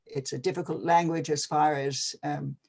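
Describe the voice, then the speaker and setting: An older person from Canada, talking casually and hesitantly with many pauses and fillers. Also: medium pitch, fairly even intonation, creaky, slightly rough. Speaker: retired NGO advisor. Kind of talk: casual video-call conversation.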